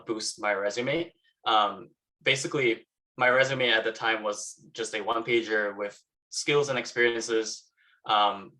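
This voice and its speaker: Halting young man from Malaysia, bright voice. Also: lowish voice, rough recording. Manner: halting, many fillers. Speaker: alumnus speaker. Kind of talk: casual talk with slides.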